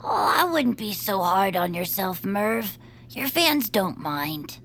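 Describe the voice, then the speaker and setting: A woman's voice: medium pitch, big pitch swings, takes it slowly, a bit bright, slight background noise, exaggerated voice. A woman playing an eager, plucky young fan with big schemes in a comedy audio drama, earnest and persuasive. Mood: reassuring. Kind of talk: audio fiction comedy acting.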